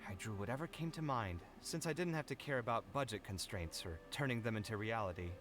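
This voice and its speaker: Young architect in a fantasy game: expressive, lilting, articulate, wistful, music underneath. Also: male voice, lowish voice, a little fast. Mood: nostalgic. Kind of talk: video game character dialogue.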